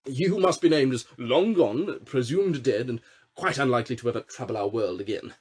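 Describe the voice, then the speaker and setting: Fidgety, fawning little official, read by a man, husky and timid. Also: mid-range voice, moderate pace, natural voice. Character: short fidgety nervous male minister, a toady.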